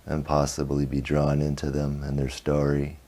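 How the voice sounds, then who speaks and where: A man guiding a meditation in a very low, mellow voice. He speaks slowly and flatly, with little rise and fall, in short phrases. Long pauses separate them. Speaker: meditation teacher. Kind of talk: guided meditation.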